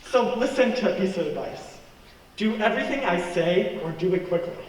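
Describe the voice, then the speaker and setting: Radio-play fugitive , male actor: highish voice, commanding and sardonic, distant in a noisy hall. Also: animated, normal speed. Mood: menacing, sardonic. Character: wry fugitive man on the run, bullying and sardonic. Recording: echoey hall, far from mic, noisy.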